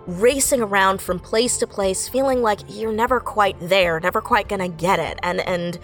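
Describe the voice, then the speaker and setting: A woman in her late twenties speaking earnestly and effusively as a writer in a reflective interview. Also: mid-range voice. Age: late 20s. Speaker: audio drama writer and voice actor.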